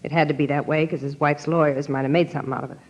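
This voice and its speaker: A woman's voice: sits low, steady tone, racing. An actress playing a tough, jittery girlfriend with a secret in an old-time radio crime drama, talking slangy and urgent. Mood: guarded, businesslike. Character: hard-boiled, jittery young gangster's girlfriend with a secret. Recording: dull, lo-fi old radio recording.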